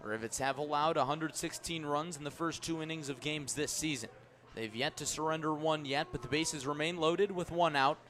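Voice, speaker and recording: A man with a somewhat high-pitched voice calls a baseball game live on the radio. His play-by-play comes in crisp, clipped phrases, as a sports announcer delivers it. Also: normal speed, hardly any pauses, a bit bright.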